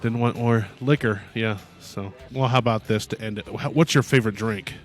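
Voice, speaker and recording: A young man hosting a podcast in a loud, booming voice. He rambles casually and laughs often. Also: normal speed, soft background music. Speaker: podcast host. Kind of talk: casual chat.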